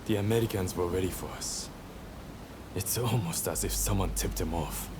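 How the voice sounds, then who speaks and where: A man's voice: low voice, moderate pace, noisy background, natural voice. A man playing an easygoing, cheeky young samurai gambler in a video game cutscene, with a breezy, teasing manner. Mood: musing.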